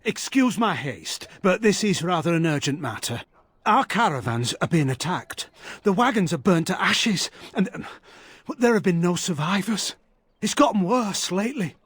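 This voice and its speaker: High-voiced, fretful merchant envoy. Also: male voice, lively intonation, moderate pace, a bit bright, slightly rough, no echo, heavily acted. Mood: worried, urgent. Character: anxious male merchant guild envoy pleading for help. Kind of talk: video game voice acting.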